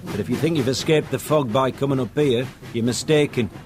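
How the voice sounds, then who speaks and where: A man's voice: mid-range voice, fairly quick, background noise, lightly acted. A male actor plays a plain-spoken Northern English countryman in a radio drama. He is dour and ominous, and a vehicle runs noisily behind his voice. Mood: ominous, matter-of-fact. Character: blunt, foreboding Northern countryman, a local man. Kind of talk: radio play acting.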